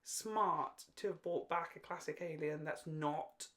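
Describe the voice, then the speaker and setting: A man in his late twenties co-hosting a casual fan podcast, chatting in an animated, jokey, sweary way and wandering off on tangents. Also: on the high side, a little slow. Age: late 20s. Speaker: podcast co-host.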